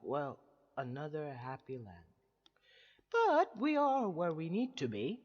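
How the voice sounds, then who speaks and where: Flamboyant scheming male villain, acted by a man, slow grand delivery, hugely animated, mock-affectionate, audio drama. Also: on the high side, lo-fi sound, heavily acted. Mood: mock-soothing. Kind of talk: science fiction audio drama acting. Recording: echoey long reverb with music behind.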